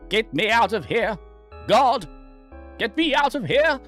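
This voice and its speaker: A man's voice: very high-pitched, big pitch swings, a little slow, a bit bright, faint music behind, voice pushed up, full character voice. A man voicing an anxious, tormented submariner who narrates his own humiliation in a dramatic, agitated way. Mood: desperate. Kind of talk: dramatic reading of a horror visual novel.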